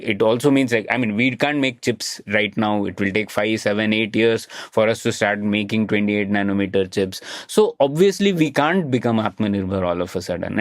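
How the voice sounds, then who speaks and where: A man's voice: medium pitch, no room sound, studio quality. A man with an Indian accent hosting a podcast, discussing policy in a conversational way and putting questions to his guest. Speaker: podcast host interviewing a guest.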